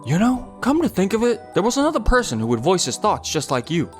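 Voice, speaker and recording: A friendly young male colleague, acted by a man in a manga dub over music. He sounds casual and gossipy, fairly high and animated. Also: brisk. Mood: chatty, confiding. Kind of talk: English manga dub acting.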